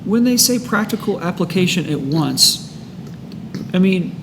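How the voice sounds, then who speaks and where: A man in a casual discussion of a book, reading passages and reflecting in a halting way. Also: expressive. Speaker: host of a recovery book study. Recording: clear background noise with low rumble.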